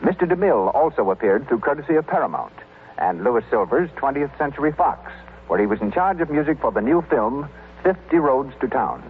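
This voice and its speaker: A man's voice: mid-range voice, big pitch swings, a little fast, narrow, tinny audio. An American man working as a 1930s radio announcer, reading a script in a formal, polished way. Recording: thin, faintly hissy 1930s radio recording.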